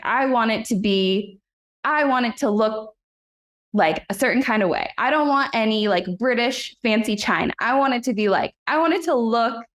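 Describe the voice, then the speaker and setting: A young adult woman guest-hosting a podcast, talking in a bubbly, giggly way with plenty of fillers. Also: mid-range voice. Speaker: guest host. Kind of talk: casual family chat.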